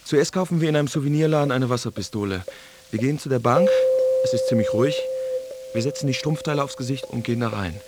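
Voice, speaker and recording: Radio drama, actor as plain-spoken male narrator, clipped and factual, mid-pitched, noticeably noisy recording. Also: quick pace, close to an everyday voice. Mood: matter-of-fact.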